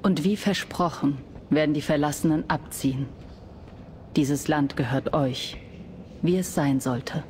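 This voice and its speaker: An actress voicing a calm, solemn undead woman leader in game dialogue. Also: low voice, a little slow, a little hiss or hum.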